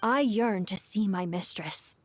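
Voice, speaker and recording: A woman voicing an ancient, devoted djinn in a video game, eloquent and measured, with a seductive, enigmatic manner. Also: mid-range voice, a little hiss or hum, natural voice. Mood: yearning, grave. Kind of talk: dramatic video game voice acting.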